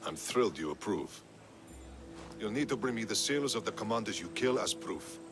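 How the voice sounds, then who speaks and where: An actor playing a proud, curt, ruthlessly pragmatic Athenian general in a video game cutscene. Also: male voice, on the low side, expressive, moderate pace, over music. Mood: haughty, determined. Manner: curt, haughty.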